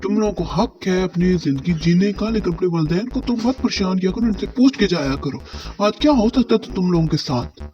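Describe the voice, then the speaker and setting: A man's voice: high voice, lively intonation, brisk, lo-fi sound, music in the background. A man voicing a stern, scolding police officer in a children's cartoon, commanding and lecturing.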